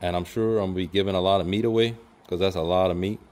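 A middle-aged man talks in a relaxed, plainspoken way, telling the day's story outdoors. His voice sits a little low. It is caught on a handheld camera held at arm's length. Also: very fast. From Louisiana. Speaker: outdoors vlogger. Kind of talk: casual outdoor vlog. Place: outdoors, lakeside dock and open shed.

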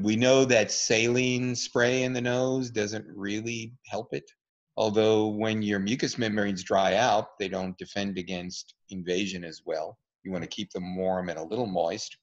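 A man's voice: fairly low pitch, a little slow. A man presenting an online talk and answering questions, explaining at an easy pace with lots of ums and uhs. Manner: relaxed, explanatory, with many fillers. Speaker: presenter, physician. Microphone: video call. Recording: dull lo-fi video call audio.

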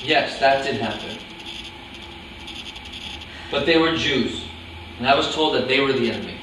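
A man's voice: medium pitch, measured pace, natural voice. Terse accused commandant, played by a man, echoey, noisy. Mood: resigned, evasive. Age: young adult. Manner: terse, defensive, controlled. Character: stubborn, evasive former commandant, accused war criminal husband. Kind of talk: staged reading of a drama. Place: indoor room, table before a plain wall. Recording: echoey room, distant microphone, clear noise.

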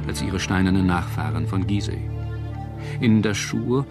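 Very deep male documentary narrator over music. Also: a little slow.

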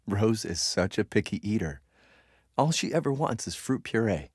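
An actor playing a gentle, affectionate father musing fondly about his baby daughter in a video game. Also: fairly low pitch, expressive, unhurried, slightly rough, big-room sound. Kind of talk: reflective video game voice acting.

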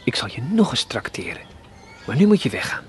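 A man voicing a gruff but kindly old coffee-stall keeper in a read-aloud radio story, by turns hushed and urging. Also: medium pitch, wildly swooping pitch, brisk, slight background noise.

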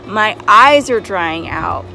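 A woman's voice: medium pitch, expressive, slow, vocal fry, pushed and bright, slight background noise, poor audio. A woman in her thirties from Texas, chatting casually to camera on a family road-trip vlog, filmed on a phone held at arm's length outdoors. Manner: chatty, playful mock news-reporter asides. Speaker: family travel vlogger. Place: outdoors in windy desert and lookouts.

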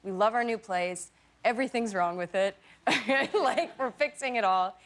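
A woman's voice: medium pitch, a little slow. A Canadian woman in her early thirties, a guest on a talk show, chatting in a playful, giggly, self-deprecating way. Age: 30s. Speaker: guest actor. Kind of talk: talk show interview chat. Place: TV studio set. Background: studio audience.

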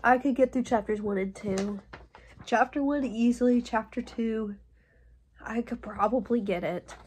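A young woman in college chatting casually to her phone camera in a relaxed vlog, upbeat and full of fillers. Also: on the high side, unhurried, breathy edge. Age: young adult. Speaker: college student vlogger. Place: dorm room and outdoors. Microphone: phone held at arm's length.

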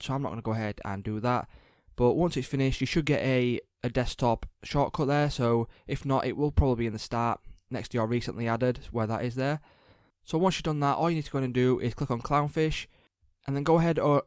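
A man presenting a casual, step-by-step software tutorial in informal, repetitive instructional phrasing. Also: medium pitch, no echo, studio quality.

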